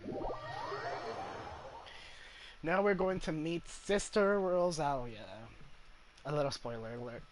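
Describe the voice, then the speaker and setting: Slow-paced woman commenting on gameplay. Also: low voice, animated. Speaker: gaming streamer. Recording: game music behind the voice.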